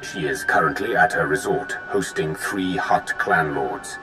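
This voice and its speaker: Game villain's loyal advisor: grave, formal Sith lord, very low voice over music. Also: male voice, a little slow. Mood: solemn, formal. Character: solemn, loyal male Sith lord serving as tactical advisor. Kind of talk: video game voice acting. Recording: music behind the voice.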